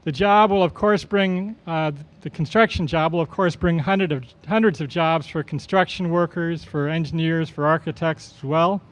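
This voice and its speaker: Guy with a high clear voice doing a formal ceremony speech, measured, kinda halting. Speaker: airport official hosting a ceremony.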